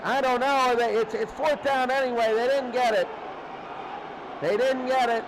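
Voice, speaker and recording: A man with a high voice gives excitable play-by-play football commentary in a classic radio style. His calls swell with the action, over loud background noise. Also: normal speed. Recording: noisy recording with loud background hiss.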